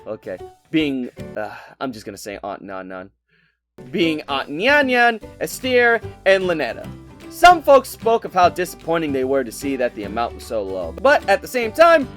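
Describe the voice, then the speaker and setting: A man giving a casual, scripted game review with an enthusiastic, playful and expressive delivery. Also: a little high.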